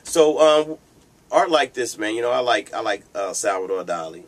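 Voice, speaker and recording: A man's voice: mid-range voice, expressive, moderate pace. A man hosting an interview, talking in an encouraging, conversational way and often saying "you know". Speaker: host. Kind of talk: interview host chat.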